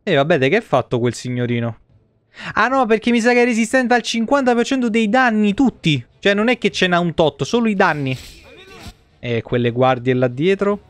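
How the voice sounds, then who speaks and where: A man's voice: high voice, expressive, moderate pace, no room sound. A man, giving casual, sweary, joking live commentary on a video game. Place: indoor room, streaming setup.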